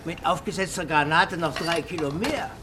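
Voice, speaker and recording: A man's voice: a little high, moderate pace, background noise, lightly acted. An actor playing a matter-of-fact, affable arms dealer who explains like a practical salesman in a radio crime drama.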